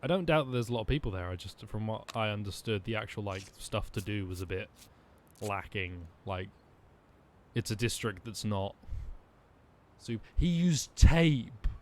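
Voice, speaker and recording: A man's voice: lowish voice, expressive, moderate pace. Young man chatting over a noisy stream. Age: young adult. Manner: chatty, thinking aloud, frequent ums and restarts. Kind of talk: gaming commentary.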